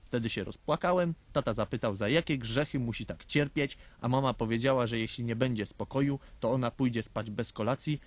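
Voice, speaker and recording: Man playing a naive schoolboy storyteller, medium pitch. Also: brisk, hardly any pauses, dry recording, natural voice. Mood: earnest. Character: little boy narrating his childhood mishaps, naive and earnest. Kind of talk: scripted first-person storytelling in a radio play.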